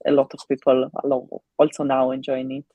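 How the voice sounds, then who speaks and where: Woman, live stream co-host, mellow tone, rough-edged clarity, chatty. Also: rumbling low, monotone, fairly quick, no echo, highs cut off. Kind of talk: casual live chat.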